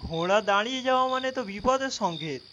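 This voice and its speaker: Man acting a high-voiced, cautious ghost-hunting companion. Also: animated, fast, highs cut off. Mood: earnest, alert. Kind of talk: animated horror story dialogue. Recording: loud music behind the voice.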